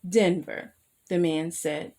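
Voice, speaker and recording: A woman reading a children's picture book aloud as a storyteller, expressive and careful, with asides to her young listeners. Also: on the low side, slow pace. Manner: addresses young listeners with simple questions.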